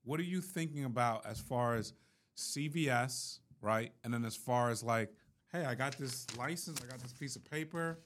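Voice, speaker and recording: A man's voice: mid-range voice, normal speed, fairly bright tone. A man hosts a casual interview podcast with a mid-range, everyday voice. He keeps the talk moving with questions and short encouraging backchannels. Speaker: podcast host.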